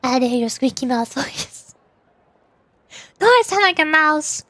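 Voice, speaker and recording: A woman's voice: sits high, lively intonation, measured pace, a bit bright. A young woman streamer chatting in a playful, teasing way and laughing often. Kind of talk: casual chat while voicing game lines.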